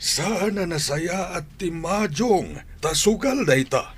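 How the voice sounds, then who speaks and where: Stern, worried old grandfather for Ilocano radio drama male actor: husky, admonishing, very animated intonation. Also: high voice, takes it slowly, exaggerated voice. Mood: scolding. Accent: Ilocano Filipino. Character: stern, concerned old grandfather scolding his gambling daughter.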